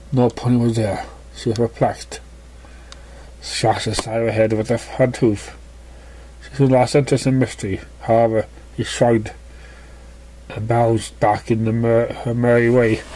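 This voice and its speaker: A man reading scripted story narration, somewhat haltingly, stumbling over words now and then. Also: mid-range voice, mellow. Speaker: story reader.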